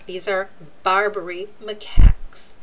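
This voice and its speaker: A woman reading a children's nonfiction book aloud, with deliberate, expressive, teacherly phrasing. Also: mid-range voice, slight background noise, poor audio. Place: indoors, book laid open before a camera.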